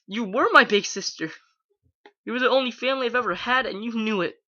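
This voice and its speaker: A reader voicing a young filly pegasus who pleads and shouts through tears in a fan fiction reading. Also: medium pitch, expressive, normal speed, gravelly, husky, dry recording, highs cut off, heavily acted. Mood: anguished pleading.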